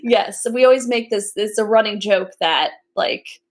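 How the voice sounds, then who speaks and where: A woman's voice: medium pitch, unhurried. A woman co-hosting a podcast, chatting casually and laughing often. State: pregnant. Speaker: podcast co-host. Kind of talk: casual chat.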